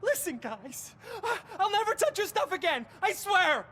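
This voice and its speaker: A man's voice: extremely high pitch, sing-song, normal speed, pushed and bright, a little hiss or hum, exaggerated voice. A man playing a nervous, cornered small-time drug criminal in a video game, whining in street slang. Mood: desperate.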